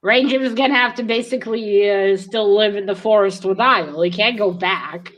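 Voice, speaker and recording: A woman's voice: mid-range voice, normal speed, fairly bright tone, rough recording. A woman co-hosting a read-along in casual discussion, thinking aloud and speculating with some hesitation. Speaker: co-host of a book read-along.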